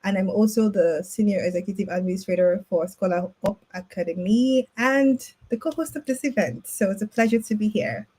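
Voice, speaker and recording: A woman co-hosting an online event introduces herself over a computer mic on a video call. She speaks hesitantly and fills her pauses with many ums. Her voice sits at a middle pitch. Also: dark tone, breathy edge. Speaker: co-host and education consultant. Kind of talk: casual self-introduction.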